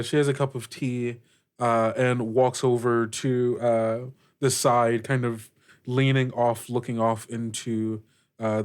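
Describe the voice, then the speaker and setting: A man working as game master, improvising scene narration for a tabletop game in a conversational, hesitant way. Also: mid-range voice.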